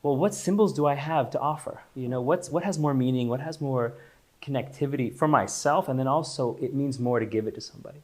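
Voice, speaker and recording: A man born in Israel and raised in Los Angeles talks about his work as an interview guest. He speaks in a loose, meandering way, often restarting and repeating words, in a voice of ordinary middle pitch. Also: brisk, darkish tone. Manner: loose, searching delivery with frequent restarts and repeats. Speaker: guest, company founder. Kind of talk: casual interview chat.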